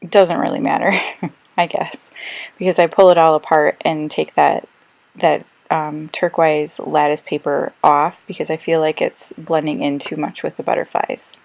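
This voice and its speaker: A woman who is slightly hoarse and losing her voice, chatting casually through a crafting voice-over with lots of ums and little self-corrections. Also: deep voice, flat delivery, a little slow, darkish tone, dry recording. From Australia. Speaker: scrapbooking crafter. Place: quiet room, recorded voice-over.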